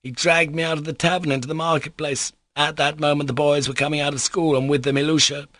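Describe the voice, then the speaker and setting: Male reader as ruined captain, agitated, effusive, self-mocking, pitched up, voluble, theatrical, storybook father. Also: level tone, fast talker, fairly bright tone, dry recording, lightly acted. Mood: confiding, agitated.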